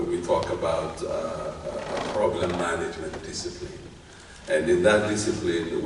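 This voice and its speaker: Deep-voiced Ethiopian man in a reverberant hall. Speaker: graduation speaker, tech executive. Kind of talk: commencement speech. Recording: very echoey hall, distant mic, faint noise.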